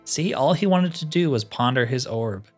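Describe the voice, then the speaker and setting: A man's voice: medium pitch, expressive, moderate pace, darkish tone, soft background music, close to an everyday voice. A man reading the part of a courteous, scholarly cat-man spell trainer, speaking formally in game dialogue. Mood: pleased, eager. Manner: formal, speaks of himself in third person.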